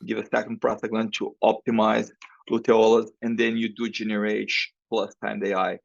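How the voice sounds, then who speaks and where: A dull, dry recording of a man with a Brazilian accent. His low voice has a gravelly creak, and he explains things as a professor being interviewed, in non-native English phrasing. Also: flat delivery, fairly quick, no room sound. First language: Portuguese. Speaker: podcast guest, professor and researcher.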